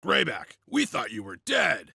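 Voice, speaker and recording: An eager wolf rebel scout in a fantasy game. The voice is raspy and sharp, leaping up and down with excitement. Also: male voice, mid-range voice, unhurried, no echo, studio quality, full character voice. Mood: anxious. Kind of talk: dramatic video game voice acting.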